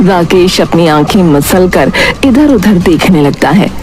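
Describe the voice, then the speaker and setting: Woman story narrator, lowish pitch, dramatic scripted delivery, cartoon folk tale, loud music underneath. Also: expressive, measured pace.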